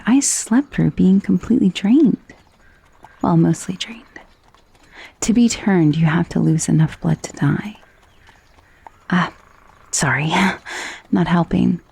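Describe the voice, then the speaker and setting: A woman voicing a gentle, doting female vampire in an ASMR roleplay, speaking in a soft, intimate, soothing way that often drops toward a whisper. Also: mid-range voice, lively intonation, takes it slowly, gravelly, long pauses, husky, heavily acted. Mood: gently persuasive. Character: gentle, coaxing female vampire mistress of a mansion.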